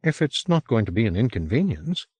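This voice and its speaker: A man's voice: very animated, fairly quick, a little mellow, breathy edge, no room sound. A man voicing a terse, guarded man. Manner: low and terse, murmured. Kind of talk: audiobook character voice.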